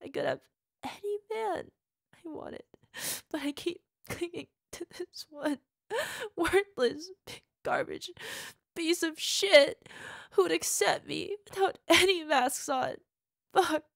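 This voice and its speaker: A young woman acting a volatile, bitter partner in an audio roleplay monologue. She swings between hushed whispers and swearing outbursts. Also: sits high, huge pitch swings, takes it slowly, creaky, long pauses, dry recording, clean studio sound, full character voice. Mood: tearful rage.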